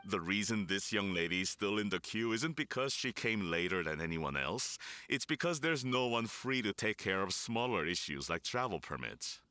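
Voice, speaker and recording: A man's voice: on the low side, expressive, normal speed, hardly any pauses, sharp tone, no echo. A man playing a harried, officious samurai official in a game, curt and exasperated. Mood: defensive. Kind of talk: animated game dialogue.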